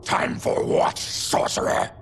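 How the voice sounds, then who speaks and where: A man playing a grim, indignant monstrous warrior with a gravelly growl in a video game cutscene. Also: takes it slowly, fairly bright tone, a little hiss or hum. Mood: suspicious.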